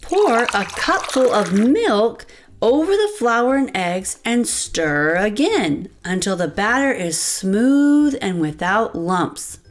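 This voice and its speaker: A woman's voice: medium pitch, very animated, takes it slowly, slight background noise. A woman voicing a patient farm mother giving step-by-step instructions in a children's storybook. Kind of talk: children's storybook read-aloud.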